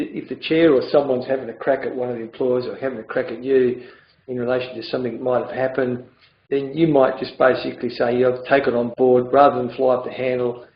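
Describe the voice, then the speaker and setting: A middle-aged man with a raspy voice, giving an informal workshop in a blunt, conversational way. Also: medium pitch, a little hiss or hum. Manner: blunt, conversational, repeats words. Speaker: workshop presenter. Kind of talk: informal training talk. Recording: echoey room, dull lo-fi sound.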